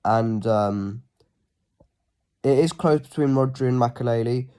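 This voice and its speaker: A man with a cold, sounding a bit sniffly, talking casually through a football team pick, with frequent ums and phrases that trail off quietly. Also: medium pitch, measured pace, clear, resonant tone. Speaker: football fan channel host. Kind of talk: casual solo preview talk.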